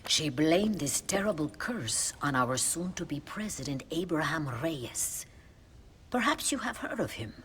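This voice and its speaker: Low-voiced Mexican nun, lively and devout. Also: female voice, full character voice. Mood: gently disapproving. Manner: measured, devout, formal phrasing. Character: pious, compassionate Mexican mother superior, gently wry. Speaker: nun, Mother Superior. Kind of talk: video game cutscene acting. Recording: long reverb, faint background noise.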